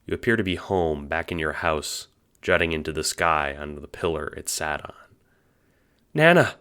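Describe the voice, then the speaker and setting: Very deep-voiced male story narrator. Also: fairly even intonation, a little fast, bright, forceful tone, smooth. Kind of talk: second-person scripted audio drama narration.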